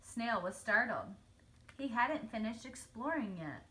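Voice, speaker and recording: A woman narrates a children's storybook aloud. The voice sits fairly high and is very bright, and she tells the story clearly for young listeners. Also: expressive, a little slow, poor audio. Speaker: storybook reader.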